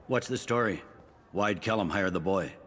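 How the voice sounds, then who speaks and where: An actor playing a gruff, world-weary middle-aged police captain in a video game, plain-spoken and matter-of-fact. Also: male voice, low-pitched, moderate pace, a bit bright. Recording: long hall reverb, faint noise.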